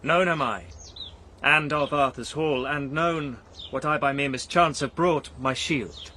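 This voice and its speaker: An actor playing a great, world-worn knight in a verse radio drama, formal, measured, guarded and courteous. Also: male voice, on the high side, pushed and bright, a little hiss or hum. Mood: guarded formality.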